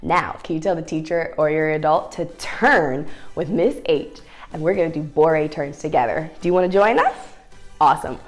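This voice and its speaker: A woman's voice: low-pitched, normal speed, faint music behind. A woman leading a story time ballet lesson for young children, giving encouraging instructions and sing-song questions.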